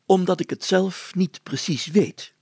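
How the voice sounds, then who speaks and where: A man's voice: high-pitched, unhurried, darkish tone, breathy edge, no room sound, voice pushed up, exaggerated voice. A man voicing a pompous, fussy, easily flustered mayor in a storybook, sputtering and tripping over self-important words. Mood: solemn, flustered. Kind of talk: storybook character acting.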